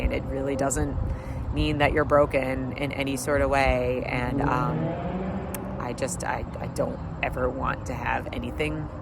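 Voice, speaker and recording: A man with a blocked-up nose from a summer cold talks at an ordinary middle pitch, rambling through a video diary with lots of fillers and dry sarcasm. He sits outdoors on a street curb while cars pass, and their noise comes through clearly. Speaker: vlogger. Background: passing traffic.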